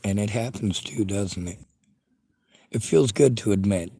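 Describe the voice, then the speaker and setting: A man speaking in a blunt, lecturing livestream monologue and reading viewer chat. His voice is husky and sits fairly low, with a stutter and a speech impediment. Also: a little slow, warm tone, no echo. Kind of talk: casual opinionated monologue.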